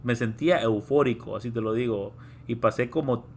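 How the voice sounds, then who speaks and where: A man hosting a casual live-stream interview, talkative, with quick asides and frequent restarts. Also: mid-range voice, vocal fry.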